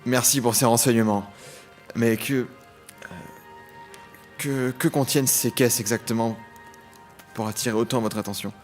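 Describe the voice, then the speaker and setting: An actor playing an eager, devoted young criminal apprentice in an audio drama. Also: male voice, medium pitch, fairly even intonation, moderate pace, long pauses, lightly acted. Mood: deferential. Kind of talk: audio drama acting and first-person narration. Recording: music under the voice.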